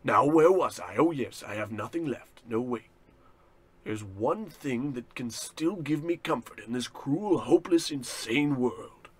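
An actor playing a melodramatic ruined executive, pompous and despairing, in a parody of old radio drama. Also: male voice, mid-range voice, lively intonation, unhurried, slightly rough, no echo. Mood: despairing, self-pitying. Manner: pompous, overwrought, swinging into shouts.

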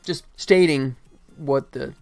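Gravelly American man thinking aloud. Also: medium pitch, slow pace, darkish tone, soft background music. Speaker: game developer livestreaming. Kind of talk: casual chat while working.

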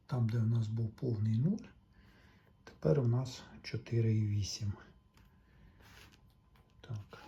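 A man giving casual hands-on repair commentary, talking to viewers in an unhurried way as he works. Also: mid-range voice, dark tone, dull audio, slight background noise. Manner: unhurried, talks along while working, addresses viewers. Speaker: electronics repair video maker.

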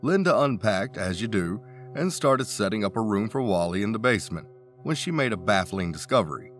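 A man's voice: lowish voice, animated, fairly quick, fairly bright tone, faint music behind. A man reading a scripted countdown narration, dropping wry asides between the facts.

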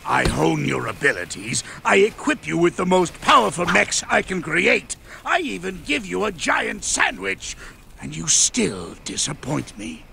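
An actor playing a pompous, scornful mad scientist villain in a video game. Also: male voice, sits high, very animated, a little slow, vocal fry, a little hiss or hum, heavily acted. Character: boastful mustached mad scientist villain, middle-aged man. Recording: game audio over loud music.

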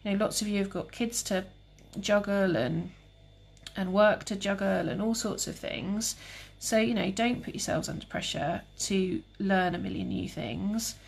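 Breathy woman teaching cake painting. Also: mid-range voice, a little slow. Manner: calm, relaxed, chatty with viewers. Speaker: cake decorating tutor. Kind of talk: live demonstration with chat.

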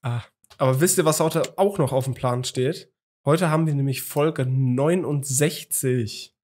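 A man's voice: medium pitch, measured pace, a little mellow. A young man talks casually on a home podcast, rambling along with many fillers and restarts. He sits close to a studio microphone in a small room at home. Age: young adult. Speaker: podcast host. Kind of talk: casual chat. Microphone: studio mic on a boom arm, video call.

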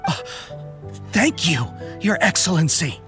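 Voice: mid-range voice, sing-song, a little slow, a bit bright, soft background music. A performer playing a contrite, deferential soldier in a video game, speaking earnestly and respectfully. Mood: bewildered. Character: contrite, deferential young soldier of a rebel band. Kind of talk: scripted video game voice acting.

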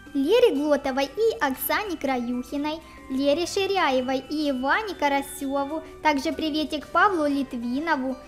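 High-pitched childlike voice over music. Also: a bit bright. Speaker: host of a children's gaming channel. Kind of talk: children's let's play commentary with shout-outs. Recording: music bed under the voice. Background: background music.

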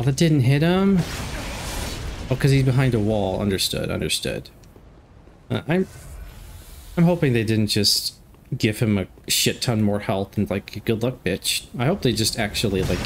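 A young man with a stuffed-up nose giving casual, jokey commentary while playing a game, breaking into excited outbursts. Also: on the low side, moderate pace, noisy background. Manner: chatty, jokey, excitable outbursts during fights. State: stuffed up from a cold. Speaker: gameplay commentator. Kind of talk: casual let's play commentary. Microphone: desk microphone.

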